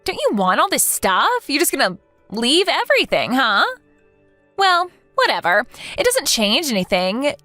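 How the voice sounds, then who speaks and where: A woman's voice: high-pitched, wildly swooping pitch, unhurried, sharp tone, no room sound, faint music behind, exaggerated voice. A woman playing a smug, taunting young mistress in a dramatized story, sneering and condescending to her rival. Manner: mocking, gloating, condescending.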